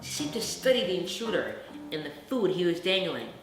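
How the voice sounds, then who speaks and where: A woman narrating a children's bedtime story in an expressive, dramatic way. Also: fairly low pitch, fairly quick. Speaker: storyteller reading a children's book. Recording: very echoey room, music underneath. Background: music.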